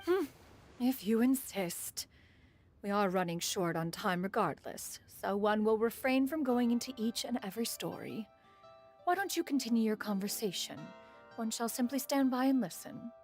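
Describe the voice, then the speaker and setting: A woman's voice: fairly high pitch, lively intonation, normal speed, faint music behind. A woman voicing a proud, dignified ancient immortal in a fantasy video game, with a formal, haughty, motherly manner and archaic wording. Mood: formal, fond.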